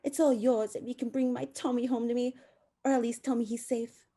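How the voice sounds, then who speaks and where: Female actor as worried village seamstress, humble, pleading, folksy, mellow timbre, radio drama. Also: a little high, fairly even intonation, a little fast, natural voice. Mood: anxious, pleading. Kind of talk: radio play acting.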